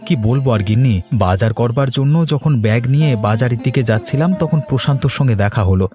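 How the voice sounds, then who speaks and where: Man playing a mellow, good-natured village nephew. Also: medium pitch, a little fast, soft background music, natural voice. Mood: amiable, explaining. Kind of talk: cartoon dialogue.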